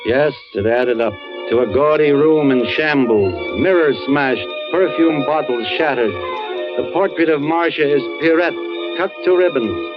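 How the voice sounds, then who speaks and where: An actor with an American accent playing a grim, world-weary narrator of a radio murder story, in deliberate, hard-boiled phrasing. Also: male voice, on the high side, expressive. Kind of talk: 1940s-50s American radio suspense narration. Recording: muffled old radio recording, loud music behind.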